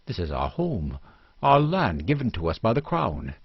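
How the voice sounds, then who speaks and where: A man's voice: on the low side, huge pitch swings, moderate pace, husky, no room sound, heavily acted. A man voicing a proud, steadfast husband in a fantasy audiobook, grave and restrained. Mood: dignified, defiant. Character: proud, steadfast immigrant husband defending his home. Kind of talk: dramatic fantasy audiobook dialogue. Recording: dull lo-fi recording.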